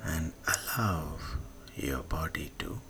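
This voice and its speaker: Very deep, slow male meditation guide. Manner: hushed, breathy phrase endings, long pauses. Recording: soft music behind the voice. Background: soft music.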